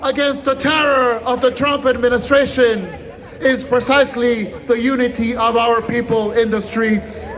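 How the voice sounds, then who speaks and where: A man giving an impassioned, declamatory protest speech with emphatic pauses. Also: very high voice, steady tone. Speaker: protest speaker, activist. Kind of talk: rally speech. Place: outdoor street protest. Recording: loud rally PA with crowd and music. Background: crowd and music.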